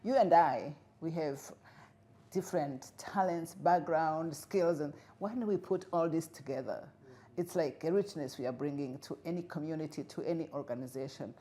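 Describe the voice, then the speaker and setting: A middle-aged woman from Rwanda, whose first language is Kinyarwanda, speaking earnestly as an interview guest with frequent pauses and fillers. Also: low voice, warm tone. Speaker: guest, refugee integration expert. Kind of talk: interview conversation.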